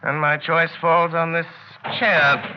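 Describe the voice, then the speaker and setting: An actor playing an unflappable male detective in 1930s radio style, calm, measured and ironically polite. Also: on the high side, heavy background noise. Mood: cool, mocking. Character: cool, unflappable, courteously taunting male detective. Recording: muffled old 1930s radio recording.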